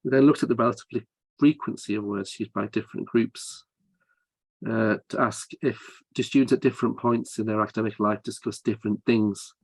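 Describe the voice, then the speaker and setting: A man's voice: lowish voice, steady tone, brisk, husky, dry recording, rough recording. A man presenting research findings at a conference, explaining his methods step by step with frequent hesitations.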